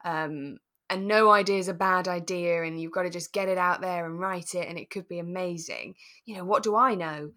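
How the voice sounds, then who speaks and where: Woman, lowish pitch, relaxed podcast chat, thoughtful, chatty, co-host. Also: normal speed, few pauses. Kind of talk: relaxed casual chat reviewing a radio drama.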